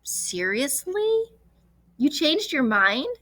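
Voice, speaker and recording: Woman reading as a perky, high-voiced storybook girl. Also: very animated, slow, fairly bright tone, dry recording, studio quality, exaggerated voice. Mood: perky, curious. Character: curious, cheerful, spirited little girl in a storybook.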